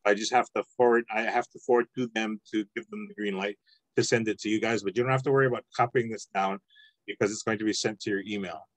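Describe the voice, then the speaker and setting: A man teaching an introductory Samoan language lesson online, explaining at a relaxed pace with frequent ums and uhs. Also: lowish voice, quick pace, dry recording, low-quality recording. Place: home, online video call.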